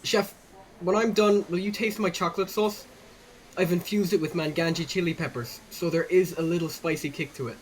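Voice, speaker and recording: A man's voice: sits high, steady tone, brisk, slight background noise, lightly acted. A man playing an eager, polite twelve-year-old boy working as a kitchen helper in a children's audio drama. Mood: eager, hopeful. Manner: obedient, hopeful, polite.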